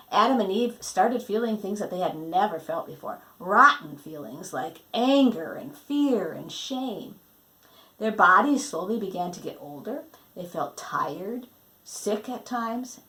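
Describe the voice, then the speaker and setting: A woman reading a children's book aloud in a dramatic, emphatic storytelling manner with pauses. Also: mid-range voice, fairly bright tone, rough recording. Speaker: storybook reader.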